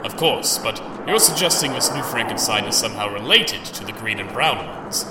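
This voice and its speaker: A man's voice: lowish voice, expressive, normal speed, close to an everyday voice. A man playing a courteous, worried army general in an audio drama, with a formal, commanding manner. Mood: formal, concerned. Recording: echoey, noisy, far from the mic.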